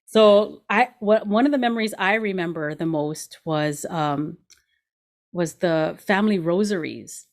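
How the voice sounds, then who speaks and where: A woman's voice: on the low side, unhurried. A woman in her forties hosting a show, chatting casually in an informal, giggly way. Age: 40s. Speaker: show host. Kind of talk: casual conversation.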